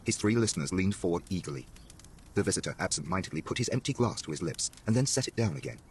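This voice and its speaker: A man's voice: deep voice, animated, fast talker, darkish tone, slight background noise. A man reading a horror story as a dramatic, scripted narration. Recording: music behind the narration.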